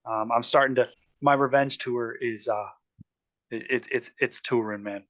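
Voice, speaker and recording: A man hosts a casual sports chat, his voice at an ordinary pitch. He rambles easily, with plenty of ums and restarts. The room sounds dry and close, with no echo. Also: steady tone, normal speed, fairly bright tone. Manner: loose and chatty, many ums and restarts. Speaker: podcast host.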